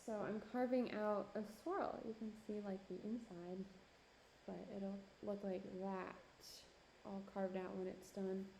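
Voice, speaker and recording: Slow-talking chatty woman, losing her voice. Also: medium pitch, fairly even intonation, gravelly, dark tone, a little hiss or hum, low-quality recording. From Minneapolis–Saint Paul area. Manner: chatty, laughing, many fillers. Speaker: pottery streamer. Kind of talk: casual chat while working, reading chat. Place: home pottery studio.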